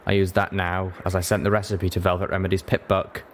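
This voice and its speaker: A man's voice: low-pitched, flat delivery, a little fast, slight background noise. A man reading scripted fiction aloud as an audiobook narrator.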